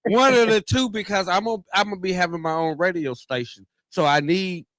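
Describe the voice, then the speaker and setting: A man's voice: high voice, fairly quick, a bit bright, no room sound, low-quality recording. An American man hosting a podcast talk show, chatting casually in slang, effusive and often repeating his phrases. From Tennessee and California's Bay Area, USA.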